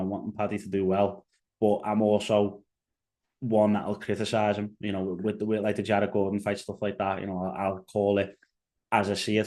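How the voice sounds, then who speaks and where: A man's voice: fairly low pitch, a little mellow. A young man with a Liverpool accent giving casual solo commentary as a podcast host, rambling with fillers and restarts. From England.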